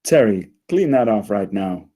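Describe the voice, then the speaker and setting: A man's voice: on the low side, sing-song, measured pace, mellow. A man playing an anxious, eager spaceship crew leader, flustered and hesitant, at a comedy table read.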